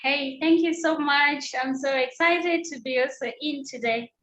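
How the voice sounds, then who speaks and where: A woman's voice: high voice, unhurried, a bit bright. A woman joining a video call as a participant, eager and repeating her words. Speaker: session participant. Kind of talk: casual chat on a video call. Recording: muffled, echoey video-call audio.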